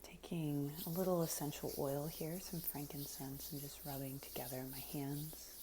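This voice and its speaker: A woman leading a guided meditation in a soft, breathy, unhurried voice that often nears a whisper, giving gentle, soothing instructions. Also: sits low, little pitch movement, noisy background.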